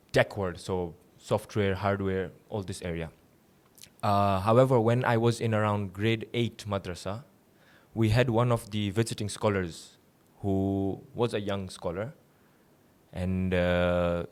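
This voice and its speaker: A young man from Tanzania, a seminary student, telling his story as a guest in a casual interview with easy laughs. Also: low-pitched. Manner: relaxed storytelling with light laughs.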